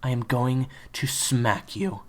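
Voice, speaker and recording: A man's voice: mid-range voice, steady tone, slow pace, voice pushed up. A man putting on a bossy teenage schoolgirl. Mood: scolding. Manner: insistent, scolding, sharp-tongued.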